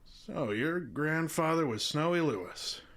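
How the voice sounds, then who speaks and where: A man's voice: a little high, expressive, unhurried, fairly bright tone. An actor playing a wry, neighborly small-town handyman with a folksy, easygoing manner in an audio drama. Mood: friendly, folksy. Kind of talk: naturalistic radio drama acting.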